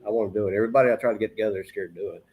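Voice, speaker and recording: Fast-talking, rambling man. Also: lowish voice, fairly even intonation, phone-quality sound, noisy background. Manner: rambling, informal. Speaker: sports talk show host. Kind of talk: casual chat.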